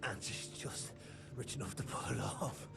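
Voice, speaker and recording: An actor playing a shifty, cowardly, foul-mouthed vampire informant in a video game, with a jittery, stammering delivery. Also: male voice, moderate pace, music in the background, natural voice. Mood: nervous, informing. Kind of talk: video game cinematic dialogue.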